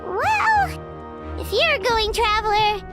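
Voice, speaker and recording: A tiny childlike game fairy with an extremely high, squeaky voice. The delivery swoops up and down at an unhurried pace, timid yet loyal. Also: female voice, big pitch swings, full character voice. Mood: nervous. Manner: speaks of self in third person. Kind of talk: anime video game voice acting. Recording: loud music under the voice. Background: game music.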